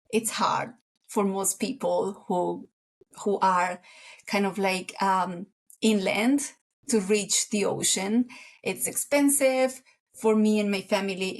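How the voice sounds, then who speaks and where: A woman, chatting as an interview guest in an unhurried way, often searching for words. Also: fairly high pitch. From Colombia. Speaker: guest surfer. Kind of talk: casual interview chat.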